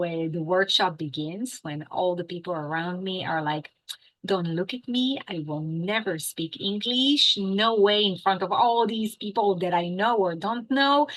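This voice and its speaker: Israeli woman chatting in an interview, lots of um and you know, normal pitch. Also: low-quality recording. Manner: animated, lots of fillers and restarts. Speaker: English teacher, guest. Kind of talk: casual interview chat.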